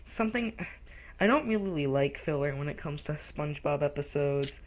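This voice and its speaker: A young man co-hosting a casual cartoon review who rambles and loudly acts out lines from the show. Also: a little high, expressive, unhurried. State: recently sick. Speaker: co-host reviewer.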